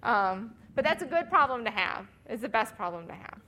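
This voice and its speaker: A woman with a Minnesotan accent teaches a church outreach workshop. Her voice sits a little high, and her talk is loose and chatty, full of "you know" and easy laughter. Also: big pitch swings, normal speed, pushed and bright. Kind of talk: workshop teaching and role-play.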